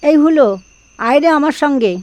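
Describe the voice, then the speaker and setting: A woman voicing a knowing old village grandmother in an animated horror cartoon, speaking in a coaxing, motherly way. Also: high voice, normal speed, a little hiss or hum, close to an everyday voice. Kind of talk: animated horror story dub. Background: background music.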